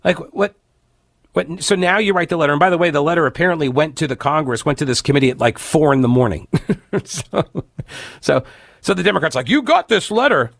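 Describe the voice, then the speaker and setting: Man, radio host with a cold: raspy, stuffed-up, sarcastic commentary; close dry studio mic. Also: on the high side, lively intonation, a little fast, fairly bright tone, dry recording. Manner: sarcastic, opinionated, reads news aloud with asides. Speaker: radio talk show host. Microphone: studio microphone, dry.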